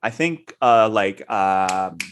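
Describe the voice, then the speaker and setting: A man's voice: fairly low pitch, lively intonation, takes it slowly, clear, resonant tone. A man hosting a casual podcast on storytelling, asking friendly questions with many hesitations and fillers. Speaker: podcast host.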